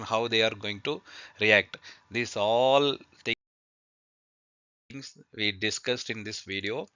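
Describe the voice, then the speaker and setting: Guy doing a slide lesson, non-native english, halting, bright clear voice, dry sound. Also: fairly low pitch, dry recording, lo-fi sound. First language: not English. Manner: halting, hesitant delivery with repeated words. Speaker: presenter of an aviation training lesson. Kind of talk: slide presentation, partly read out.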